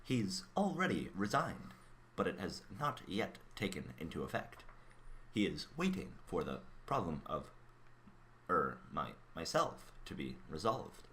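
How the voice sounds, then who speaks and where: A man acting as a mind-reading robot in a radio play, calm and blunt, with a measured, formal delivery. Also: low-pitched, slight background noise, exaggerated voice. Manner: measured, matter-of-fact, formal. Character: mind-reading male robot, calm, blunt, curious about human emotions.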